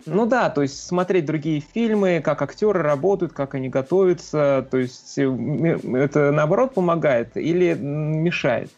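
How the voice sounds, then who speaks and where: A male interviewer with a fairly high but very creaky voice asks informal questions, hesitating and rewording them. The recording is a little dull. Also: moderate pace, few pauses, darkish tone, faint music behind.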